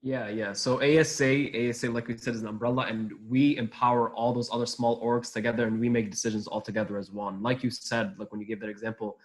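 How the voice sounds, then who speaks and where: A young man who leads a student group talks quickly and eagerly, with many fillers. His pitch stays flat and even. He keeps going from one point to the next without pause. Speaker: student association leader. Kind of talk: panel discussion.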